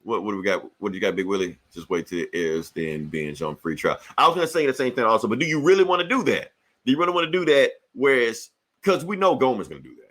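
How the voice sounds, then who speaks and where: A man hosting a casual pop-culture podcast, chatting in a profane, joking, animated way. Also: mid-range voice, fast talker. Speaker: podcast host.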